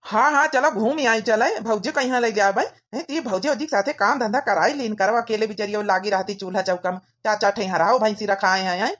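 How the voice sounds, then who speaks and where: A woman's voice: mid-range voice, a little fast, few pauses, fairly bright tone, lightly acted. A woman voicing a nagging but fair-minded young village husband in an Awadhi cartoon comedy, with a rustic, scolding manner. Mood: reproachful.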